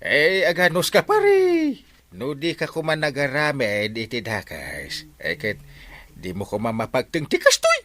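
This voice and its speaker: Village captain for radio comedy: a man playing exasperated Ilocano scolder, extremely animated intonation, forceful bright tone. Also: fairly high pitch, measured pace, exaggerated voice. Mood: scolding. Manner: theatrical, scolding.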